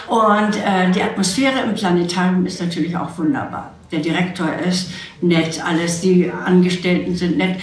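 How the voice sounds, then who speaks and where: A woman director enthusiastically talking about a production in an interview. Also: medium pitch. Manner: enthusiastic, chatty. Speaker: radio play director. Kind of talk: interview answers. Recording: roomy echo.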